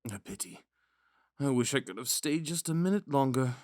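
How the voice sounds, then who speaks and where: A man's voice: mid-range voice, expressive, normal speed, darkish tone, no echo, studio quality, natural voice. Man playing a wistful young prince, swooping intonation. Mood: wistful musing. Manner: musing, hesitant, courteous, with sighs and whispered asides. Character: young prince, third in line, wistful, gentle, smitten romantic. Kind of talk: romantic audio roleplay with inner monologue.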